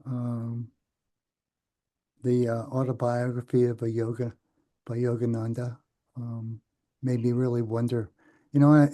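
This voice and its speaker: An American man in his seventies recalling his life as a podcast guest, speaking in a halting, thoughtful way that often trails off. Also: mid-range voice, dark tone. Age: 70s. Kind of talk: reflective interview recollection.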